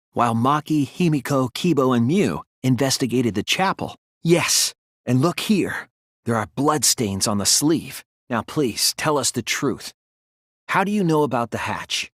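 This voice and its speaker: A man voicing an earnest, analytical teenage boy detective in a video game, reasoning deliberately through scripted dialogue. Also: medium pitch, expressive, fairly bright tone, no echo, studio quality. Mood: serious, explaining.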